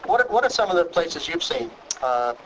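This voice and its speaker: This is a man with a Brooklyn accent who talks quickly and sits a bit high in pitch. He hosts an interview, asking friendly questions and often restarting his sentences. A faint hiss runs behind his voice. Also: sing-song, a bit bright, poor audio. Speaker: talk show host. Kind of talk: interviewing guests.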